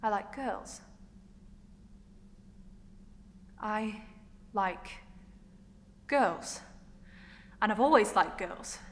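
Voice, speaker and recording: A woman performing a passionate young woman in a dramatic stage monologue, emotional and emphatic, pausing for effect. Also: mid-range voice, creaky, natural voice. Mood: confessional, aching. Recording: echoey hall, noticeable room noise.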